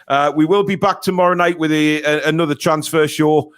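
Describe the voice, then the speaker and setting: A Geordie man hosts a casual live football watchalong from his desk mic. His voice sits high, and he jumps between shouting at the match and laughing as he reads viewer comments aloud. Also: brisk, clear, resonant tone. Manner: excitable, laughs often, reads chat aloud. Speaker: host.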